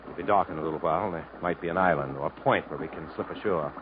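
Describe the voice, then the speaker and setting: An actor playing a resolute, commanding adventurer hero in a 1950s radio drama, speaking earnestly and in a clipped way. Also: male voice, low-pitched, fast talker, background noise, lightly acted. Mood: urgent, commanding. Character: resolute adventurer hero fleeing through jungle, also narrating. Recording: muffled old radio broadcast with music.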